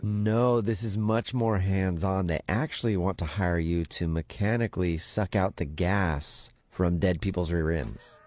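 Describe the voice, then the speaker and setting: A man's voice: deep voice, a little slow, few pauses, a little mellow, no room sound. A man playing an upbeat, pushy temp agency recruiter on a prank phone call, talking casually and keeping a straight face. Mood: matter-of-fact. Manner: casual, breezy, straight-faced salesman patter. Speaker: radio prank caller.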